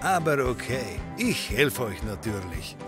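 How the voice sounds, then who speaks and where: An actor playing a jovial, adventurous immigrant barkeeper with an expansive manner in a comedy audio drama. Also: male voice, medium pitch, big pitch swings, a little slow, over music. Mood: game, hearty. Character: hearty, adventurous Polish immigrant male barkeeper.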